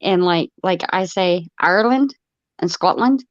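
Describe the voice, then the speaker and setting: Chatty middle-aged stream host with an Ohio twang, always laughing, on a muffled, dry-sounding mic. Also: female voice, lowish voice, measured pace, no echo. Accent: Ohio, with some Florida. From United States. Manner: rambling and chatty, laughing often. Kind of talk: casual live stream chat.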